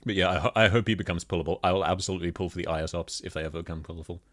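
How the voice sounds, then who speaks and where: A man with a thick Australian accent, chatting casually as he reviews game outfits and laughs often. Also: racing. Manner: chatty, laughs often, lively pitch jumps. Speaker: gaming video host. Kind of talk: casual commentary.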